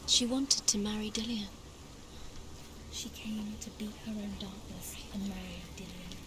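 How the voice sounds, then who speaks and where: Actress as anxious female voice in the head, mid pitch, urgent, repetitive, whispery, game drama, loud ambience. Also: fairly even intonation, measured pace, background noise, natural voice. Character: restless female voice in the head, anxious, echoing others.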